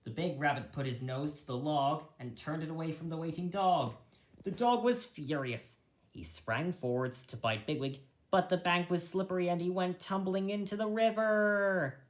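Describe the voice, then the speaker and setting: A story reader narrating a storybook in an animated, deliberate way. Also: mid-range voice. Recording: roomy echo.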